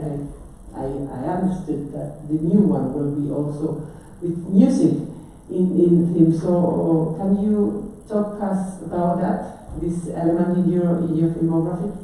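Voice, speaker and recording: A woman with a Spanish accent moderates a festival talk, speaking in halting English with many fillers. Her voice is low and dark. She sounds distant in a very reverberant auditorium. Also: a little hiss or hum. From Spain. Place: large auditorium. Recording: echoey hall, distant mic.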